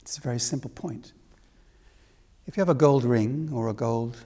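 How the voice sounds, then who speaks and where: A man from Wales giving a spiritual discourse to a live audience as the teacher, speaking deliberately with repeated phrases and rhetorical questions. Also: medium pitch, warm tone. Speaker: spiritual teacher leading a talk. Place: reverberant room with an audience. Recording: long hall reverb.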